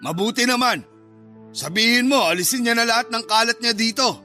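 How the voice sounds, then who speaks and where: Actor as high-pitched, hostile Filipino father. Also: brisk, pushed and bright, soft background music, heavily acted. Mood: cold, dismissive. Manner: curt, scornful, confrontational. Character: hostile, cold father of a grown son, irritated. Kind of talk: Filipino radio drama acting.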